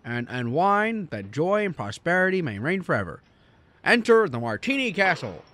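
A modest, plainspoken small-town fellow in a radio drama. His delivery is folksy and halting, with pitch that moves up and down a great deal. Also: sing-song, sharp tone. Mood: warmly ceremonial. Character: earnest, kindly small-town man, modest but plainspoken.

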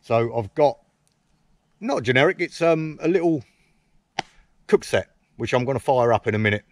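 A man's voice: mid-range voice, animated, moderate pace. A man talks to a camera set up close by in the woods. He teases a friend and pokes fun at himself in a loose, casual way. The sound is dry, with no room echo. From England. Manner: cheeky, teasing banter with dry self-mockery. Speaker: camping vlogger. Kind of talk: casual outdoor chat to camera. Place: woodland camp outdoors. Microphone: camera mounted close on a tripod.

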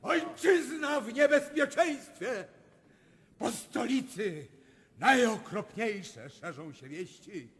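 An actor playing an alarmed bishop and deputy, dramatically declaiming in a radio drama. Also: male voice, very high-pitched, lively intonation, takes it slowly, a bit bright, exaggerated voice. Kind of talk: theatrical radio drama oratory. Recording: long hall reverb.

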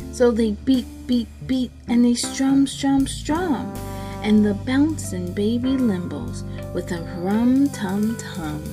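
Young female children's narrator, higher voice, slow rhythmic delivery in a radio-DJ style, music clearly underneath. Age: young adult. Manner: playful, measured, stressing the beat words. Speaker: story time radio host reading a picture book. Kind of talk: rhythmic children's picture book read-aloud in radio DJ style. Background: jazz music.

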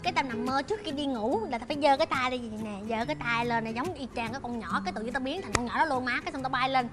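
A woman in her twenties with a southern Vietnamese accent, telling stories in casual, excitable and expressive chat. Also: high-pitched, rapid-fire, over music. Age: 20s. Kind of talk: casual animated chat, reminiscing. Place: indoor talk show set styled as an old shop.

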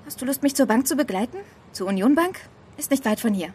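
A woman's voice: sits high, lively intonation, fast, darkish tone, breathy edge. A woman playing a talkative, teasing young woman in a German audio drama. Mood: startled, then friendly. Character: chatty, teasing young woman, a travel agency clerk.

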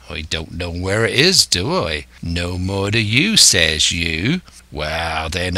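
A man's voice: deep voice, sharp tone, no echo, full character voice. A man voicing a scheming, domineering older pirate ringleader who speaks emphatically and scornfully in a salty seafaring dialect.

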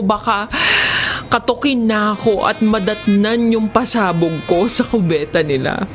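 A woman with a Filipino accent narrating a comedic first-person story in an expressive, giggly, conversational way. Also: fairly low pitch.

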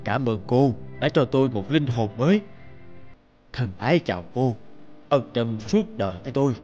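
A man voicing a rough, gruff robber in an animated morality tale. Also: mid-range voice, normal speed, lo-fi sound, faint music behind, natural voice. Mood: reflective, grateful. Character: rough male robber, menacing, later remorseful.

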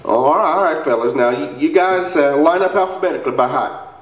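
A man's voice: on the high side, brisk, a little hiss or hum, close to an everyday voice. Echoey, blustering folksy basketball coach, acted by a man. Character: folksy, muddled, blustering small-town boys' basketball coach. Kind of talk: staged old-time radio comedy acting. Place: indoor theater stage before an audience. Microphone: stand mic, heard through far camera. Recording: echoey stage hall, distant muffled camera audio.

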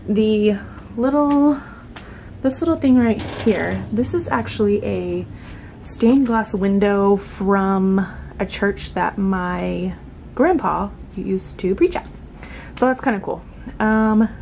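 A woman in her thirties vlogs casually from a bedroom, with a mid-pitched voice. She talks in an informal, self-deprecating way into a nearby camera, and faint room noise sits behind her. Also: creaky, dark tone. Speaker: vlogger. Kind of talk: casual vlog chat to camera. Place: small bedroom, indoors. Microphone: camera at arm's length or set nearby. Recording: noisy camera audio with room hum.

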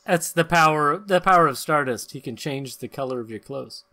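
A man's voice: a little high, normal speed, sharp tone. A middle-aged man co-hosting a podcast, reading comic captions dramatically and bantering casually. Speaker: podcast co-host, narrator.

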